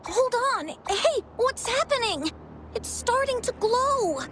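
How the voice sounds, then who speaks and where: Young female apprentice: very high, curious, excitable, measured pace, game dialogue over music. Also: heavily acted. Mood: excited, curious. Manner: expressive, excitable. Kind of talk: scripted video game voice acting.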